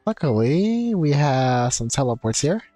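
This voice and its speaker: A woman's voice: extremely low pitch, sing-song, unhurried, mellow. A woman streams a video game with casual commentary. She muses and thinks aloud in a conversational way. Faint game music plays behind her. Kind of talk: casual gameplay commentary, reading dialogue choices.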